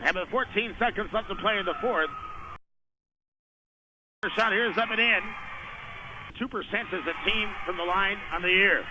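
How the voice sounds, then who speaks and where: A man's voice: high-pitched, moderate pace, pauses often, background noise. A man doing live radio play-by-play of a basketball game in short, running descriptions of the action. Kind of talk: live radio sports commentary. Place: indoor high school basketball game.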